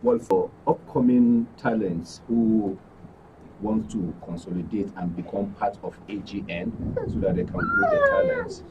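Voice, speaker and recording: A man's voice: on the low side, huge pitch swings, a little slow, darkish tone. A man with a Nigerian accent gives informational answers as a studio guest, repeating key details for emphasis. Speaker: studio guest, actors' guild administrator. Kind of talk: unscripted informational interview answer. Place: radio and TV studio. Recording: distant microphone with faint background noise.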